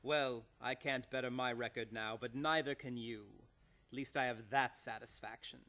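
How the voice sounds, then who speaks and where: An actor in an old-time radio play as a bitter, menacing grown nephew, cold and sardonic. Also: male voice, medium pitch, fairly even intonation, moderate pace, sharp tone. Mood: bitter, gloating.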